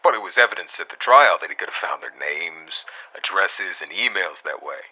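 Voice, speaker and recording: A man playing a serious police detective, answering in a deliberate, matter-of-fact way through a call-like filter. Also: low voice, lively intonation. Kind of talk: scripted interview in audio drama. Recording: dull, band-limited call sound.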